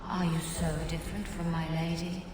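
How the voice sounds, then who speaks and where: A cavernous, distant voice with heavy reverb opens onto a seductive female demon from a fantasy game. She speaks low and unhurried, silky and taunting, insinuating every phrase over a noisy backdrop. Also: flat delivery. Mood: mocking, insinuating.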